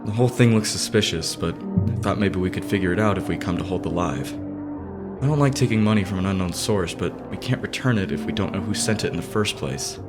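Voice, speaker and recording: Casting: man as proud, hot-headed teen idol, earnest and formal, low register, anime dub over loud music. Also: a little fast, close to an everyday voice. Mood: serious. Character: serious, proud, hot-headed teenage boy idol, easily slighted. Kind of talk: anime fan dub acting.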